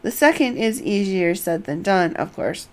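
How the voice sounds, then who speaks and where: A woman's voice: medium pitch, lively intonation, normal speed, natural voice. A woman voicing a serious, businesslike strategist from a fantasy game, formal and explanatory.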